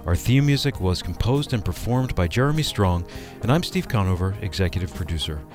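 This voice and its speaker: A man with a fairly low voice co-hosts a religious radio show. He reads scripted announcements in a radio announcing style. Music sits softly behind his voice. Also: animated, a little fast. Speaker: radio co-host and executive producer.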